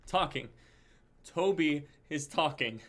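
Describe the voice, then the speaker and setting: A man's voice: fairly high pitch, lively intonation, slow, fairly bright tone. A man in his twenties playing a panicked, baffled pet owner, agitated and incredulous, in a dramatic script reading. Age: 20s.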